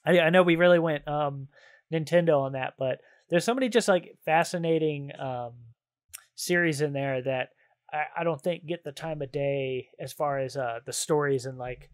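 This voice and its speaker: A man's voice: fairly high pitch, normal speed, dry recording, studio quality. A man chatting casually and enthusiastically as a podcast co-host, in informal slangy phrasing. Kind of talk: casual chat about games and films.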